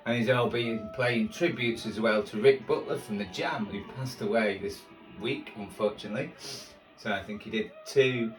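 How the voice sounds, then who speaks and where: A man's voice: lowish voice, moderate pace. A man giving casual, rambling, self-deprecating commentary as a hobbyist host tuning a radio in his kitchen. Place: indoors in a kitchen. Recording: roomy, distant mic, radio music behind. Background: music playing from a shortwave radio.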